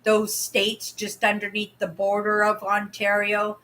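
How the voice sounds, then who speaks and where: A woman's voice: medium pitch, completely flat delivery, measured pace, pushed and bright. A Canadian woman in her sixties from Vancouver, chatting casually with viewers on a livestream from her home, rambling and reading out their comments. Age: 60s. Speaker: livestream host. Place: home room, indoors. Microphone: webcam at desk.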